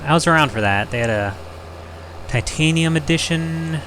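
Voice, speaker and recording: A young man hosting a farming game let's play, slightly hoarse and rough-voiced, rambling and joking at himself as he thinks aloud. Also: fairly high pitch, lively intonation, moderate pace, a bit bright, a little hiss or hum. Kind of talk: casual gameplay commentary.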